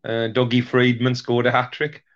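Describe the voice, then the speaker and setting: Brief: football fan guest, easygoing anecdote, mid pitch, dull lo-fi call sound. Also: male voice, moderate pace. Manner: anecdotal, relaxed storyteller. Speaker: guest pundit. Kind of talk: casual chat.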